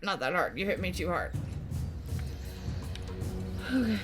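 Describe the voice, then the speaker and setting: A woman's voice: lowish voice, animated, unhurried. A woman hosting a gameplay video with casual commentary, muttering reactions to herself as she plays. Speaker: gaming video host. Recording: game music behind voice.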